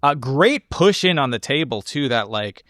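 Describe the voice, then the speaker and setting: A man's voice: mid-range voice, big pitch swings, normal speed, a bit bright, no echo, studio quality. A man co-hosting a podcast, talking through a film in a casual, enthusiastic and rambling way. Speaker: podcast co-host discussing a film.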